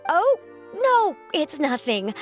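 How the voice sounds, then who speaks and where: A woman playing an earnest, superstitious, excitable association representative in an anime-style video game, with a dramatic storytelling manner. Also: high-pitched, slow, music in the background, exaggerated voice. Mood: relieved, earnest.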